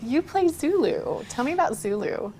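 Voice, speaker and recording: A woman's voice: high voice, very animated, normal speed, darkish tone, a little hiss or hum. Enthusiastic woman hosting a studio interview. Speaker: TV interview host.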